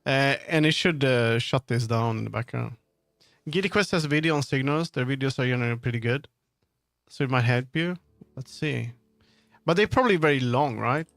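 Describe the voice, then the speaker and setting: Man rambling on a livestream, slightly creaky. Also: mid-range voice, moderate pace, fairly bright tone, dry recording, clean studio sound, soft background music. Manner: rambling, muttering to himself, jumping between thoughts. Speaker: streamer. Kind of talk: casual livestream chat.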